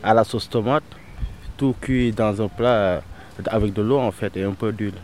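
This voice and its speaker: A man from Senegal answering a street interview, explaining calmly and plainly. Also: lowish voice, normal speed, a little hiss or hum. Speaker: passerby. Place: outdoors on a street.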